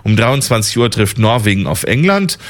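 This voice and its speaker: A male newsreader with a low voice reads from a script in a steady, even way. Each sentence lands evenly. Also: normal speed. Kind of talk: scripted news reading.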